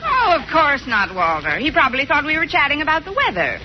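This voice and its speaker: A woman playing a wry high school English teacher, tossing off dry wisecracks in a 1940s radio sitcom. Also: huge pitch swings, brisk. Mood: sarcastic. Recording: dull noisy old radio, loud studio audience.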